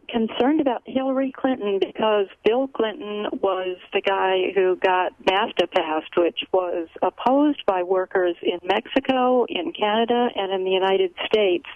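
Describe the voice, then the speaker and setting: Woman, radio caller, medium pitch, careful deliberate questioning, thin narrow telephone sound. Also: no room sound. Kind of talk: asking a considered question on air. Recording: tinny narrow phone line.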